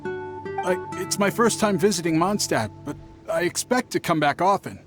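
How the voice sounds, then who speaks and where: A man's voice: high voice, expressive, normal speed, music in the background. A man voicing a shifty, hesitant traveling merchant who bluffs nervously, in video game acting. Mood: flustered, evasive. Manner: hesitant, stumbling, ingratiating.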